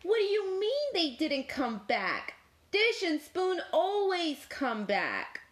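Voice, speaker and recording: A woman's voice: very high-pitched, huge pitch swings, takes it slowly, voice pushed up, full character voice. A woman voicing a sleepy, easily indignant cow in a picture book. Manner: indignant, drawling.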